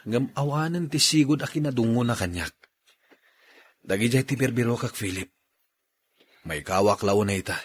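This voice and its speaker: A man's voice: medium pitch, lively intonation, normal speed, pauses often, husky. A man with an Ilocano accent acting and narrating as the storyteller of a radio drama. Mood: troubled, confiding. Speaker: radio drama host and actor.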